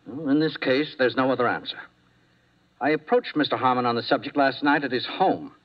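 An earnest, anxious male doctor played by a radio actor in a 1950s drama. He pleads insistently and hesitantly in a middle-pitched voice, on a muffled old broadcast recording. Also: a little fast, close to an everyday voice. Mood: earnest, grave.

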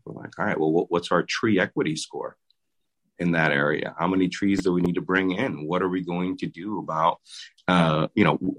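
A young man from the Dominican Republic, a mayor answering interview questions in a halting way, often restarting his words. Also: extremely low pitch. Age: young adult. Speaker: mayor and city commissioner.

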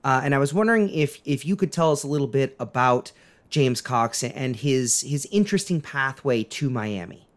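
A man hosting a history podcast in an earnest, deferential manner with halting phrasing. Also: mid-range voice, animated. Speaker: podcast host.

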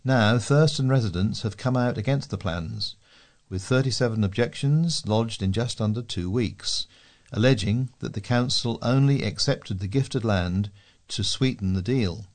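A man's voice: medium pitch, measured pace. A man reading scripted local news as a volunteer newsreader.

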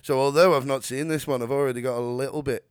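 A man's voice: medium pitch, fairly even intonation, quick pace, slight background noise. A man, co-hosting a podcast in casual banter. He rambles, repeats words and laughs often. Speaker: podcast co-host. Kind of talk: casual chat.

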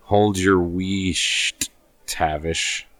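A man's voice: low-pitched, steady tone, extremely slow, a bit bright, no echo, exaggerated voice. A man playing a smug, menacing small-town crime boss who talks in a mock-polite drawl. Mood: smug menace.

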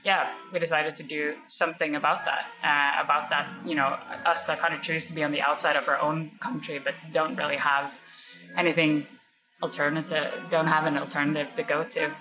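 A woman's voice: deep voice, flat delivery, brisk, music in the background, poor audio. A woman from Norway, a startup founder, talking casually as a podcast guest with many fillers and restarts. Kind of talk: casual interview talk.

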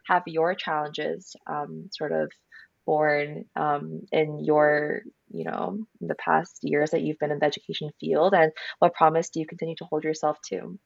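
A woman's voice: low-pitched, little pitch movement, normal speed, gravelly, dry recording, lo-fi sound. A woman co-hosting a podcast, asking a question hesitantly and searching for words. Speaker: podcast co-host. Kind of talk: interview questions.

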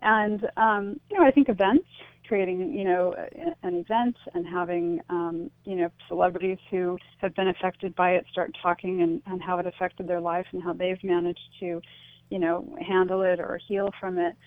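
Female interview guest, lowish pitch, earnest and explanatory, thin telephone sound. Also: fairly even intonation, normal speed, no room sound. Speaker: interview guest, advocate for the bereaved. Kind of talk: conversational radio interview. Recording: tinny, narrow phone line.

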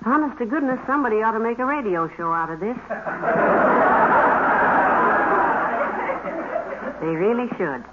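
A woman's voice: on the high side, fast, lightly acted. A woman acting a weary, long-suffering housewife in 1950s radio comedy, dryly sarcastic with sighing asides. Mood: exasperated, wry. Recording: noisy muffled old radio recording.

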